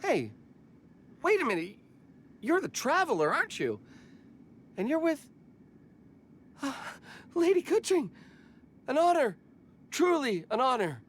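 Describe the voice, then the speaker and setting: An actress playing a flustered, deferential warehouse cleaner in a video game. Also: female voice, sits high, wildly swooping pitch, a little slow, long pauses, heavily acted. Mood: relieved, awed. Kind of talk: scripted video game voice acting.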